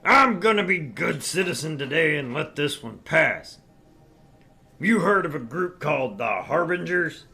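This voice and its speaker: Man acting a brash sleazy newsman, middle-aged, gravelly and forceful, pushy, swaggering, video game character. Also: a little high, sing-song, a little slow, full character voice. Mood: gruff, matter-of-fact. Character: brash, crude, sleazy middle-aged male tabloid editor. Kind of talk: visual novel character read-through.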